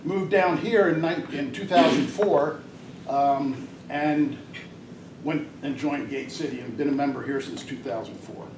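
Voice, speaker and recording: A man's voice: medium pitch, measured pace. An older man giving a rambling, hesitant talk at a club meeting, with many fillers. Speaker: guest speaker at a Rotary club. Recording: distant mic in an echoey room, noisy.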